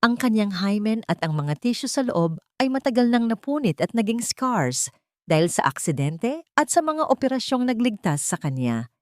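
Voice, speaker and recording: A woman's voice: fairly high pitch, sing-song, normal speed, dry recording, studio quality. A woman with a Filipino accent reading a scripted, dramatic story as its narrator.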